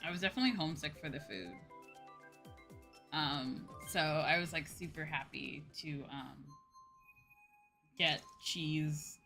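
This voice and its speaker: Woman, slightly low voice, casual game-stream chatter, expressive, sweary, clear game music in the background. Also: a little slow, pauses often. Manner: expressive, swears freely, mock-grumbling asides. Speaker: livestream host, virtual streamer. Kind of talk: casual chatty livestream commentary while gaming. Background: video game music.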